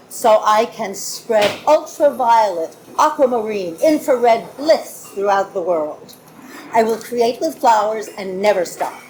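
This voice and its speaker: A woman from California reads her poems at a live event. She talks briskly and chattily, then shifts into lyrical reading. Her voice sits fairly high, with faint ambience behind her. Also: a little mellow, a little hiss or hum, rough recording. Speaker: guest poet. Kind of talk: poetry reading. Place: bar venue, live audience.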